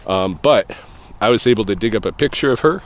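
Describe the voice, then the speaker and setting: A man talking casually to camera while out on an evening walk, thinking aloud in a loose, rambling way with pauses and soft chuckles. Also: on the low side, animated, a little hiss or hum. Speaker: vlogger answering a viewer question. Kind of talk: casual walking vlog. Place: outdoors on an evening walk near traffic.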